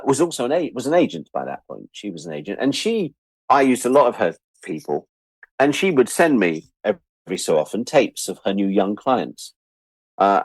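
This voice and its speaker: A British man with a fairly low voice shares anecdotes as a guest in a casual interview. He is an animated storyteller who jokes at his own expense. The sound is close and dry, with very little room. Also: no room sound, low-quality recording. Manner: animated storyteller who acts out remembered exchanges; self-deprecating, joking. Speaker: interview guest, audio drama producer and director.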